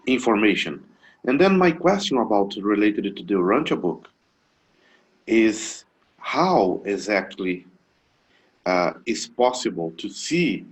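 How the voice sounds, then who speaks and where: A Brazilian man, a native Portuguese speaker, asking a question on a video call, halting with many fillers and restarts. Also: on the low side, fairly bright tone, rough recording. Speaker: webinar attendee asking a question.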